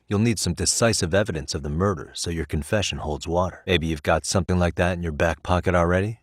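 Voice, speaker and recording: A man's voice: sits low, dry recording. A man with an American accent voicing an easygoing, principled detective in a video game, talking in a dry, wry way as he reasons things through. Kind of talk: video game voice acting, conversational dialogue.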